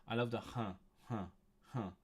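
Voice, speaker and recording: A man in a casual livestream works through a textbook, reading passages aloud and then musing on them in his own words. He sits at an ordinary middle pitch and chuckles to himself often. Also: fairly even intonation, normal speed, darkish tone, husky. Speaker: language learner streaming his study session.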